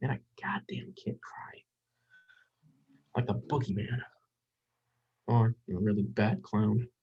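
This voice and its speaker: A performer playing a flustered, defensive young psychologist in a dramatic script reading, halting and self-questioning. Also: mid-range voice, dark tone, husky, full character voice. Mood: muttering self-reproach. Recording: dull, muffled recording.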